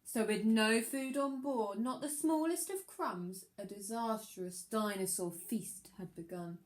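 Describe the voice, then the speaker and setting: A woman reading a children's picture book aloud like a primary teacher, expressive, with dramatic emphasis on the rhymes. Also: a little high, unhurried, a little hiss or hum.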